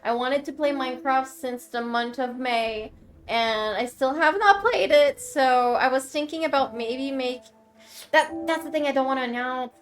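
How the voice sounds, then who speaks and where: A woman's voice: high voice, normal speed, slight background noise. A woman streamer from Quebec, whose first language is French, chatting casually with her viewers, rambling and laughing often as she thanks them. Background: game music.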